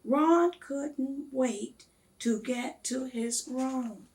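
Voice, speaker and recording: A woman reading a storybook aloud to children in a deliberate, expressive manner. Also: high voice, background noise. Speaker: read-aloud guest reader.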